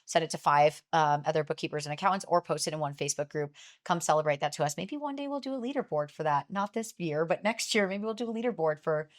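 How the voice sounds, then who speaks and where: A woman podcast guest who talks fast and bubbly, teasing and laughing a lot while chatting. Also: low voice. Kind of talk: casual chat.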